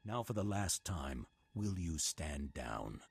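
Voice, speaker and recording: An actor playing a stern, calm old Jedi master in a video game, husky and speaking in a measured, formal, solemn way. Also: male voice, full character voice. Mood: grave warning. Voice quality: very deep, husky.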